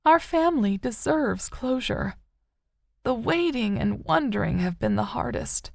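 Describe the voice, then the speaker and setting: A woman narrator voicing an older mother, grieving and wistful yet still hopeful, with a soft, sorrowful, tender manner in an audiobook. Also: on the high side, expressive, unhurried, vocal fry, dry recording, clean studio sound, pitched above the performer's own voice, full character voice. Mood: haunted, sad.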